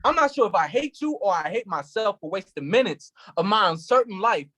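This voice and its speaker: A man's voice: high voice, lively intonation, brisk, pushed and bright, exaggerated voice. A man playing an anguished grieving police officer. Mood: bitter. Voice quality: intense, impassioned delivery. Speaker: actor in a staged play reading. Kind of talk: staged play reading.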